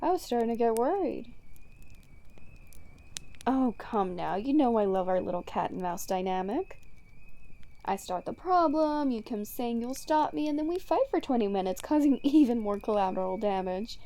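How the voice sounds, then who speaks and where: A woman's voice: a little high, lively intonation, normal speed, dark tone. A woman playing a smug, sarcastic female supervillain in an ASMR roleplay, drawling and teasing as she taunts a hero. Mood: smug, amused. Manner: teasing, drawling, sardonic, casually profane. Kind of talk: ASMR roleplay audio drama monologue.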